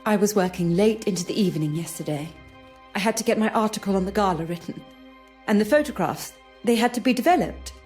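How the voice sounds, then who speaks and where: A woman's voice: medium pitch, lively intonation, fast talker, darkish tone, natural voice. A woman voicing a defensive young journalist in a video game, polite and guarded under questioning. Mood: rattled, defensive. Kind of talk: scripted video game dialogue acting. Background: music.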